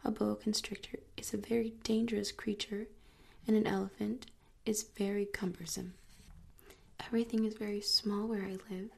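A solemn little boy from another world asks softly and gravely, whispered by a woman reading a storybook. The voice is breathy and a little gravelly, held on a flat, even line. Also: mid-range voice, moderate pace. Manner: soft, grave, insistent.

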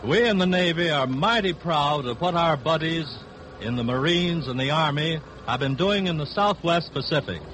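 A man's voice: on the high side, lively intonation, normal speed, a bit bright. A man giving formal, declamatory scripted navy narration in 1940s radio style, on a noisy old recording. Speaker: navy spokesman introducing a broadcast.

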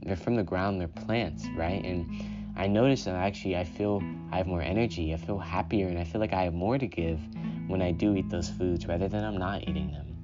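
Man, very low voice, calm and unhurried, solo podcast host, dull lo-fi sound, guitar music behind. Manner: calm, unhurried, frequent "you know". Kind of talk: solo self-care podcast monologue.

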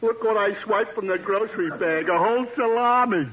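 An actor playing a dim, goofy sidekick, eager and simple-minded, in a 1940s radio comedy. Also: male voice, sits high, normal speed, heavy background noise, lightly acted. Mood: gleeful. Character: dim, goofy male sidekick, gleefully petty. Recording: dull vintage radio recording, studio audience.

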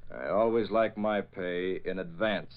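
An actor playing a cool, sardonic masked vigilante with a dry, tough manner in a 1940s radio drama. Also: male voice, low-pitched, unhurried. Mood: cool, hard. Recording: dull old 1940s radio recording.

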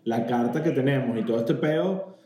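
A man's voice: mid-range voice, brisk, dark tone. A man with a Venezuelan accent talking casually and informally, as a friend on a phone call. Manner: informal, slangy.

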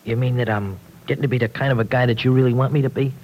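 An actor playing a rough, sheepish young American man eager to improve, with slangy, uneducated speech, in old radio soap style. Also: medium pitch, fairly even intonation, very fast, a little mellow, lightly acted. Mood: eager, unsure. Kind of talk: 1940s radio soap opera acting. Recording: noisy old radio drama recording.

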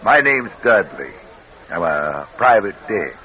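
An actor playing a wily, smugly amused private detective in a radio crime drama, with a casual, folksy, needling delivery. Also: male voice, deep voice, very animated, slow pace, sharp tone, full character voice. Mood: breezy, sly. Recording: dull, hissy old radio recording.

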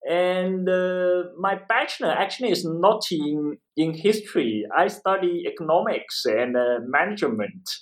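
A man's voice: sits high, animated, measured pace, hardly any pauses. A man from eastern China with a Chinese accent, a professor explaining his work in an interview, repeating phrases and often checking with "right?". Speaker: interview guest, history professor and author.

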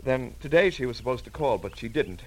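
A man's voice: mid-range voice, animated, brisk, lightly acted. A man playing an anxious, polite bridegroom, hesitant and stammering, in a 1940s radio drama. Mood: worried. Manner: fretful, hesitant, stammering, formal. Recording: noisy vintage radio recording.

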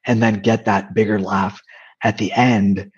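A soft-spoken man with a breathy, slightly low voice talks openly in an interview. He hesitates often, restarting his sentences and leaning on fillers. The audio is dull and muffled. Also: level tone, unhurried. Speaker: interview guest, comedian and dating coach. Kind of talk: candid conversational interview.

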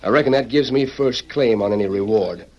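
A man playing a bossy, hot-tempered outlaw in an old radio western, talking in gruff, commanding cowboy lingo. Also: medium pitch, fairly quick, close to an everyday voice. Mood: scheming. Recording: muffled old radio recording with hiss.

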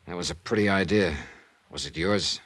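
An actor playing a tough, blunt, world-weary investigator in a classic radio detective drama, clipped and plain-spoken. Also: male voice, low voice, normal speed, fairly bright tone. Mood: pressing.

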